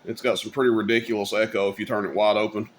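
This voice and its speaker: A man's voice: on the low side, little pitch movement, fast talker. A man giving a casual, folksy, rambling hands-on demonstration as a hobbyist video host. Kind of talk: casual hands-on product demo. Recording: clear background noise.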